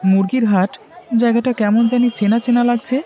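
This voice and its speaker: A man's voice: extremely high pitch, steady tone, fairly quick, dark tone, a faint crowd. An actor playing a dutiful but independent-minded young son just out of his studies in a cartoon story. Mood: easygoing. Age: young adult. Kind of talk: Bengali cartoon story dialogue.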